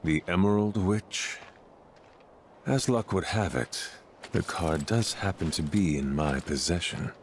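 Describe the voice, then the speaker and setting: An actor playing a brooding, cryptic keeper of a powerful card in a video game, speaking in a formal, measured, ominous way. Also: male voice, sits low, background noise. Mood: grave, cryptic. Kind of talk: video game cutscene acting.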